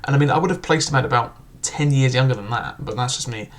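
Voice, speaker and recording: A young man talks through a book review in an unpolished, conversational way, leaning on "like" and "um" as he hunts for his point. His pitch sits in an ordinary middle range for a man. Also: fast. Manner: chatty, full of "like" and "um" fillers. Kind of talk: casual spoken book review.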